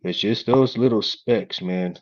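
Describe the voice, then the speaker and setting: A man talks in a low, flat monotone, murmuring along as he works on a craft project. His delivery is laid-back and unhurried. The recording is dull and lo-fi. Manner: laid-back, talks quietly while working. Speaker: hobby craft vlogger. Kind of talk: casual working chat while building.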